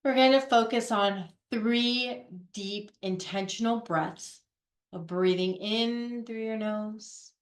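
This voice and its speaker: A woman leading a guided meditation in a gentle, unhurried way, pausing between phrases. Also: a little high, echoey room. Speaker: guided meditation leader, Reiki practitioner. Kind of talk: guided meditation, soothing instruction.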